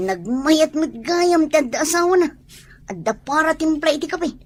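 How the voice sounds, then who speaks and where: A woman's voice: high-pitched, expressive, unhurried. A woman playing an affectionate, hardworking young market porter husband in an audio comedy drama.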